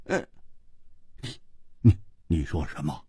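A man voicing a hot-tempered young swordsman who stammers and protests loudly. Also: a bass voice, big pitch swings, slow, husky, no room sound, studio quality, voice pushed down, exaggerated voice. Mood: flustered protesting.

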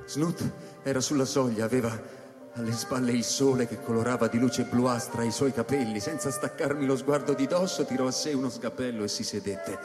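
Radio drama lead: actor as haunted scientist-narrator, intense and tormented, mid pitch, big reverberant space, music underneath. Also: male voice, normal speed, lightly acted. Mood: grave, subdued. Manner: intense, dramatic, broken phrasing. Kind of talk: theatrical radio drama, first-person narration and dialogue. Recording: roomy, long hall reverb under music.

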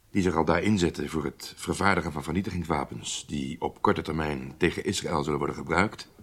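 An actor playing a grave, resolute Israeli secret service chief in a radio drama, measured and formal. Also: male voice, low-pitched, breathy edge, heavily acted. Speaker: intelligence chief dictating a memo.

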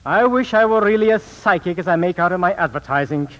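An actor in a 1940s radio drama playing a sinister, bitter small-time swindler and phony psychic, with formal, theatrical diction. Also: male voice, high-pitched, quick pace, fairly bright tone. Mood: insinuating. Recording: muffled 1940s radio recording.

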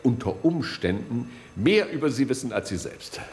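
An actor playing a middle-aged male security chief in a German radio play, authoritative and courteous but guarded, in formal, deliberate officialese. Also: medium pitch, animated, darkish tone, a little hiss or hum, natural voice. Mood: smooth, guarded.

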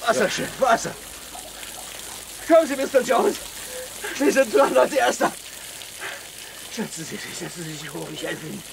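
An actor playing a parched, talkative castaway sailor, exhausted and gasping, in a radio play. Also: male voice, high-pitched, normal speed, vocal fry, long pauses. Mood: parched, desperate. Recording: noisy radio play, watery hissing behind.

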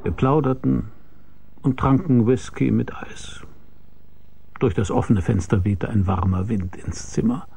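Casting: hard-boiled radio detective, mellow gravelly voice, dry and laconic. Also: male voice, medium pitch, fairly even intonation, unhurried, full character voice. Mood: terse narration. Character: hard-boiled, world-weary male private detective, narrating. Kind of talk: noir radio drama narration and dialogue.

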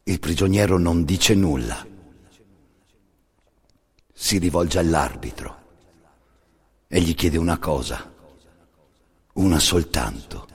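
A man performing scripted dramatic storytelling as a solo narrator, grave and deliberate, in short phrases with pauses. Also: low voice, husky. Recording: long reverberant hall.

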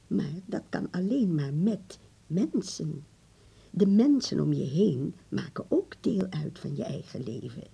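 An actress playing an elderly grandmother in a radio drama, frail yet wise, tender and firm, speaking deliberately in a breathy old voice. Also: low-pitched, mellow, full character voice. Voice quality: husky, breathy, creaky old voice. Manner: slow, deliberate, with repeated words.

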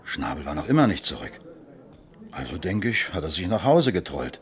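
A man's voice: sits low, very animated, moderate pace. An actor playing a defensive, slightly embarrassed male pub regular under questioning in a radio play, speaking somewhat formally. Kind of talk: German radio play dialogue.

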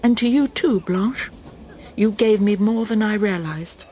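A woman's voice: mid-range voice, unhurried, a little mellow, lightly acted. An actress playing a proper middle-aged Englishwoman in a radio drama, with an English accent. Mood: relieved, contrite. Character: smug middle-aged Englishwoman, lost and breaking down.

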